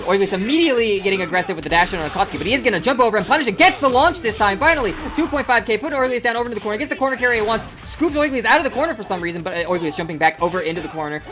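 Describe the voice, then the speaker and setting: A woman calls a fighting game match at breakneck speed, her play-by-play excitable and casual, full of "like" and "uh". Her voice sits in an ordinary middle register. Game music plays clearly behind her. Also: medium pitch. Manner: rapid excitable play-by-play, many "like" and "uh" fillers. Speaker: tournament commentator. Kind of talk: casual play-by-play esports commentary. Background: game music playing.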